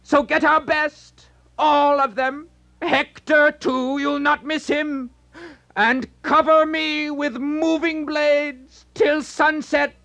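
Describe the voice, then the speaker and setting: A man's voice: very high-pitched, flat delivery, slow, gravelly, pushed and bright, lo-fi sound, pitched above the performer's own voice, heavily acted. A man voicing a wounded young warrior who desperately cries out to his comrade in a storybook reading. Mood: desperate pleading.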